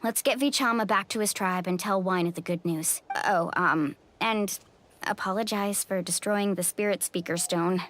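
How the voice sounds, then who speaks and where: An actress playing an earnest, determined young heroine in a video game. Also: female voice, mid-range voice, moderate pace, fairly bright tone, slight background noise, natural voice. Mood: upbeat, sheepish.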